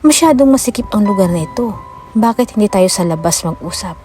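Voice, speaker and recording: A woman's voice: mid-range voice, expressive, a little fast, mellow, close to an everyday voice. A woman voicing a menacing, haughty rich old man in an audiobook drama, commanding and smug. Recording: music behind the voice.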